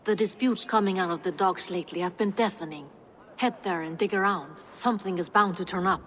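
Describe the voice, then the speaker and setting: An actress playing an earnest, businesslike spymaster in a dramatic video game. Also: female voice, on the low side, fairly quick, fairly bright tone, close to an everyday voice. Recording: faint ambient noise.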